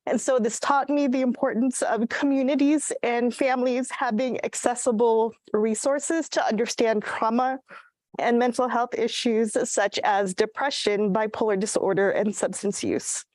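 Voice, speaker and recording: A woman from Guam speaking as an assistant professor, giving earnest, measured prepared remarks over a video call. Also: fairly high pitch, fairly even intonation, a little mellow, dry recording. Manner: earnest, measured, clears throat at times. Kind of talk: prepared remarks at a virtual event.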